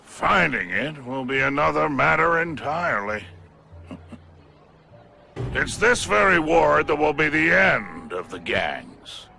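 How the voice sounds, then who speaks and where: A smug, self-important tycoon in a video game cutscene. His delivery is sharp and forceful, with deliberate slow phrasing and a gravelly edge. He speaks formally, dripping with contempt and menace. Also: fairly high pitch, sing-song, full character voice. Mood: smug, guarded.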